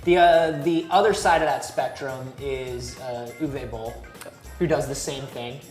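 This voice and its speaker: A low-pitched woman talks as a panelist in a casual debate, emphatic and opinionated. The room adds some echo, and music plays underneath. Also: unhurried, a little mellow. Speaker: panelist on a video game talk show.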